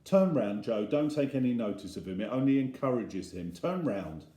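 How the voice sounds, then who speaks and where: A man's voice: medium pitch, sing-song, normal speed, warm tone, reverberant, close to an everyday voice. A man playing an exasperated father in a story, giving his children firm, clipped orders. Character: exasperated father brushing off his young sons.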